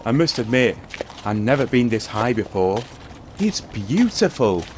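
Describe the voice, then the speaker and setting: An actor playing a naive, nervous young prince in a comic radio play, hesitant, bewildered and earnest. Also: male voice, medium pitch, sing-song, unhurried, a little hiss or hum. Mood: wondering. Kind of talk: radio play comedy acting.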